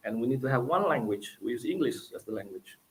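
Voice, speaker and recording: A man with an Indonesian accent giving a technical conference presentation, explaining step by step and often tagging lines with "okay?" and "right?", with some halting fillers. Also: medium pitch, lively intonation, slight background noise.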